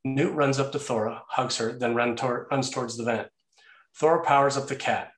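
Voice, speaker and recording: Guy reading screenplay action lines as narrator, normal pitch, echoey room. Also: fairly even intonation, normal speed, a bit bright, soft background music.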